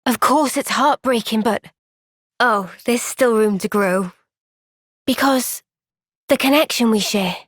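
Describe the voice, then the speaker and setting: A woman playing an earnest, gentle young female soldier in a video game cutscene, speaking with measured, sincere resolve. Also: a little high, no room sound, studio quality. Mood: thoughtful, earnest.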